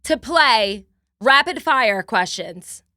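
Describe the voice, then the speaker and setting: A woman with a New Jersey accent hosting a podcast at a studio mic, bubbly and quick to laugh. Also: fairly high pitch, expressive, bright, forceful tone. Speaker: podcast host. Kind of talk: casual podcast chat. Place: small studio. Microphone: studio mic on boom arm.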